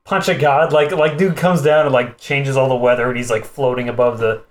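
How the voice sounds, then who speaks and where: A man's voice: mid-range voice, steady tone, a little fast. A man co-hosting a casual film podcast, laid-back and slangy, acting out lines as he retells. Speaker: podcast co-host. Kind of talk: casual movie discussion.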